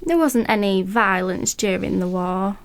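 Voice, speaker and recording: A woman's voice: medium pitch, a little slow, heavy background noise, close to an everyday voice. A woman playing a wistful, grumbling old woman in a radio play, with an English accent and plain colloquial speech. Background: music.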